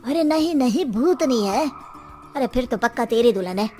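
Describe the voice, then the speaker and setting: A woman's voice: a little high, lively intonation, moderate pace, a little mellow, faint music behind, close to an everyday voice. A woman voicing an exasperated, bickering neighbour with a protesting, sarcastic manner in a cartoon story. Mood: flustered.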